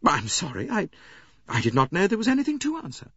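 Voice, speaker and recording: A man reading an audiobook and voicing a composed, cool-headed young English governess with crisp, clipped replies. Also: sits high, wildly swooping pitch, a little fast, fairly bright tone, no room sound, dull audio, pitched above the performer's own voice, full character voice.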